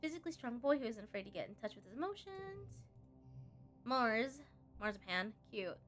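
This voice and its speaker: A woman streaming a game live, chatty and expressive, reading the on-screen story aloud and tossing in playful asides. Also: a little high, moderate pace, faint music behind. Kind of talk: live let's-play commentary, reading game text aloud.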